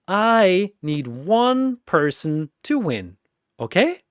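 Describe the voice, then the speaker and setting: A man's voice: high-pitched, sing-song, drawn-out words, clean tone, no room sound, clean studio sound, pitched above the performer's own voice, exaggerated voice. A man voicing a flamboyant, exasperated arena master in a fantasy battle story, scolding with theatrical emphasis. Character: loud exasperated flamboyant four-armed male arena master.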